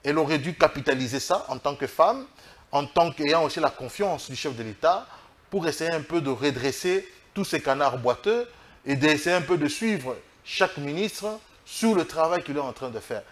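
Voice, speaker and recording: A man with a Congolese French accent, speaking as a political guest in an interview, arguing emphatically and haltingly, often repeating his phrases. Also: a little high, lively intonation. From Democratic Republic of the Congo. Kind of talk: political interview, spoken argument.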